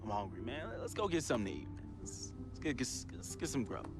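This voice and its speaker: An actor playing a volatile, resentful young New hustler in a dramatic video game cutscene, talking streetwise slang and taunting. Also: male voice, medium pitch, expressive, quick pace, lightly acted. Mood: coaxing. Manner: streetwise slang, taunting, swinging from chummy to explosive. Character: volatile, resentful, fast-talking young hustler, best friend of a basketball star. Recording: loud music behind the voice.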